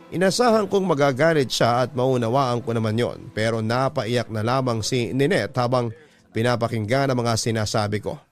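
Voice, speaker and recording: A man narrating a first-person story for a radio drama in a scripted storytelling style. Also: medium pitch. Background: instrumental music.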